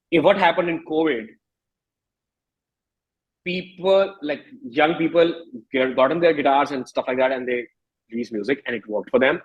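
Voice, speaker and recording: A man's voice: mid-range voice, breathy edge, highs cut off. A man in his thirties with an Indian accent, a musician speaking casually and emphatically in an interview, repeating phrases for stress. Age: 30s.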